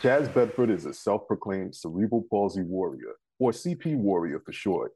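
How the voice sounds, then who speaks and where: A man's voice: low voice, very animated, moderate pace, noisy background. An American man in his thirties hosting a talk show, moving between introductions and casual interview chat. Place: living room couch at home, indoors.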